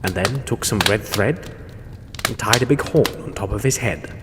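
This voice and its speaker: A man's voice: on the low side, moderate pace. Dramatic male storyteller in a noisy hall. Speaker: storyteller, show host. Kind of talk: dramatic rhyming story narration. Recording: echoey hall, noisy background.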